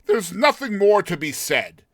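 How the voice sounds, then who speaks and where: A man's voice: sits high, big pitch swings, unhurried, a bit bright, dry recording, studio quality, pitched above the performer's own voice, exaggerated voice. A man voicing a lovestruck, impatient young man in a dramatized short story, with an effusive, emphatic delivery. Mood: rapturous.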